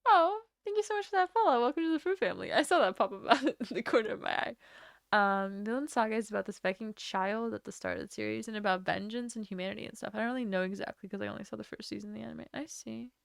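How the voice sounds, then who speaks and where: A woman's voice: fairly high pitch, fast, vocal fry, no room sound, clean studio sound. A young woman from Latvia chatting casually on a live stream, rambling from topic to topic and swearing freely. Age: young adult.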